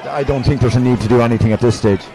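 A man's voice: mid-range voice, racing. An Irish man giving conversational analysis as co-commentator at a live Gaelic football match. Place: outdoor stadium stand. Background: light crowd noise.

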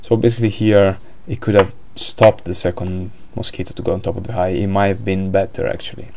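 A man's voice: low voice, fairly even intonation, brisk, a little mellow, a little hiss or hum. A man with an Italian accent commentating a board game live, hesitant, with many fillers and restarts.

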